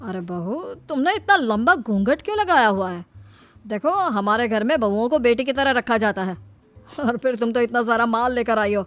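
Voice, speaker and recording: A woman's voice: on the high side, animated, moderate pace, no room sound, faint music behind, natural voice. A woman voicing a greedy, ingratiating father of a groom, fussy and persuasive, in a moral story.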